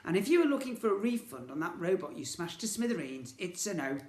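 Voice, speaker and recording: Man putting on a sneering, high-pitched villainous shopkeeper. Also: big pitch swings, fast talker, darkish tone, reverberant. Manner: sneering, theatrical, spluttering and boastful. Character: pair of smug, gloating villainous twin male shopkeepers.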